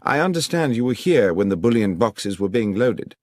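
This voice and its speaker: A man playing a brisk, self-assured gentleman detective with a crisp, formal, commanding manner in an audiobook. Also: on the low side, expressive, no echo, clean studio sound. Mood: brisk, inquiring.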